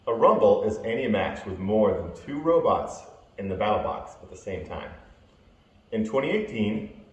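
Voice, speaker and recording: Lowish-voiced man in an echoey room. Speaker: robot combat team member. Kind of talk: reading a children's book aloud. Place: large bare concrete-floored room.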